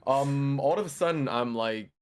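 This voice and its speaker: A man's voice: medium pitch, normal speed, a little hiss or hum. A young man whose first language is Mandarin, a podcast guest chatting casually and rambling with lots of fillers. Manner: rambling, excitable, frequent fillers and laughs. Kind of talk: casual chat.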